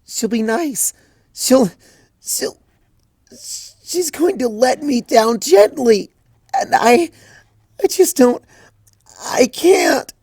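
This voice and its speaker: A woman voicing a frantic, lovesick young unicorn mare who wails and sobs in a high voice. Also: lively intonation, extremely slow, pauses often, darkish tone, breathy edge, dry recording, studio quality, exaggerated voice. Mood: despairing. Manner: high, wailing and sobbing. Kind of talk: fanfic reading.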